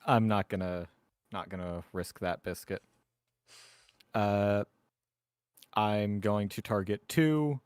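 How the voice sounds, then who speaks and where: A man's voice: on the low side, takes it slowly, long pauses, darkish tone, clean tone, no room sound, studio quality. A man talking casually as a player at a tabletop roleplaying game.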